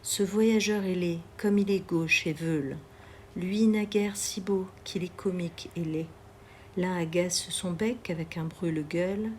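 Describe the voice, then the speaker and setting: A woman reads literary poetry aloud in a measured, deliberate way, pausing between phrases. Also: on the low side, a little mellow, slight background noise. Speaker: poetry reader.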